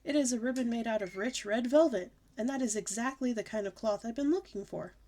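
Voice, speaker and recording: A woman reading aloud puts on a high, bossy little girl who can barely sit still. Her voice pushes upward and gets loud as she wheedles and demands, breathless with excitement, like a storybook kid who always wants something right now. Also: a little fast, close to an everyday voice. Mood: pleading. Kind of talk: audiobook character voice.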